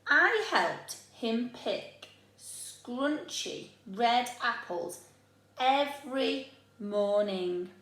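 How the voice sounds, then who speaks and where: A woman voicing a young storybook boy who is proud of his grandpa and stubbornly unimpressed, in a slow, exaggerated delivery. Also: a little high, lively intonation, fairly bright tone, heavily acted. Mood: proud, wistful. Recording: echoey room.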